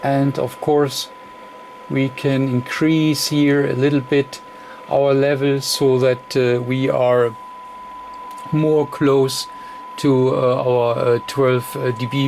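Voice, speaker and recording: A man giving an unscripted technical demonstration as a radio technician, speaking in a halting, fragmented way with very frequent hesitations. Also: medium pitch, little pitch movement, gravelly. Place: indoor electronics test bench. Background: radio receiver noise.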